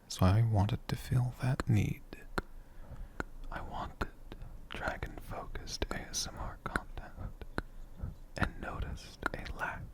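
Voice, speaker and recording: A man in a dragon persona, whispering softly and rambling fondly as he answers viewer questions for an ASMR video. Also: lowish voice, gravelly. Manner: soft-spoken, rambling, fond.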